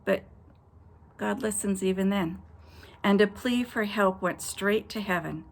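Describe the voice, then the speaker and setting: Gravelly-voiced woman pastor reading a story. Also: mid-range voice. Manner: expressive storyteller, voices quoted characters, pauses to address kids.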